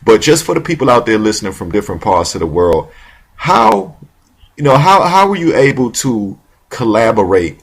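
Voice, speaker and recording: A man's voice: medium pitch, very animated, normal speed, gravelly, breathy edge. A man hosting a talk show, rambling with lots of restarts and casual slang. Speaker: radio show host.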